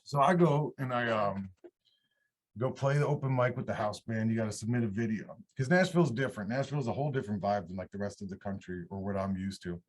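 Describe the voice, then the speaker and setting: A man's voice: on the low side, fast talker. A man in his thirties from upstate New York, a country singer telling his story in a casual, rambling interview. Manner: rambling, relaxed, restarts mid-sentence. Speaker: country singer-songwriter guest.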